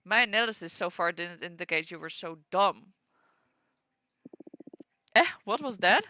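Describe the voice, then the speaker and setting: A woman's voice: on the low side, animated, unhurried, pushed and bright, dry recording. A woman with a stuffy nose reading the lines of a mocking ancient card-reading being, teasing and lecturing. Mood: teasing lecture.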